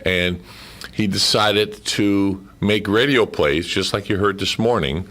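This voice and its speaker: Male talk guest, very deep register, conversational storyteller with frequent asides. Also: slow pace, fairly bright tone. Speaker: guest, amateur radio play actor. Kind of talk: casual anecdotal studio chat.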